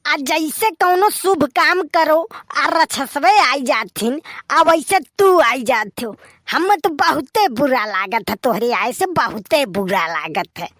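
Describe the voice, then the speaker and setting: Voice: sits high, lively intonation, slow pace, fairly bright tone, dry recording, clean studio sound, full character voice. A performer voicing an opinionated, grumbling young village bachelor in a comedy cartoon, with an Awadhi accent and a complaining, lecturing delivery. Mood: annoyed.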